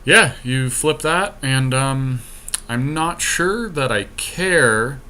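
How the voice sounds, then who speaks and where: A man's voice: mid-range voice, expressive, slow pace, bright, forceful tone. A man co-hosting a podcast, giving casual play-by-play of a card game with dry, teasing banter. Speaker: podcast co-host.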